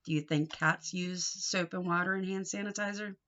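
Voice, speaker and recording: A woman reading a picture book aloud to young children in an expressive, sing-song way, coaxing them with questions. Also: on the low side, moderate pace, highs cut off.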